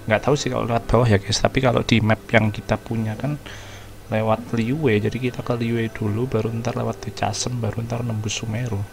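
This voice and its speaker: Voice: medium pitch, level tone, rapid-fire, gravelly. A game streamer with an Indonesian accent, giving casual let's-play commentary and chatting informally to viewers. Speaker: gaming streamer. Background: game music.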